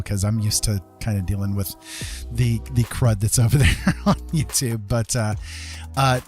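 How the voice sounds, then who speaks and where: A man's voice: mid-range voice, darkish tone. Casual, rambling man livestreaming over music. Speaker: live art streamer.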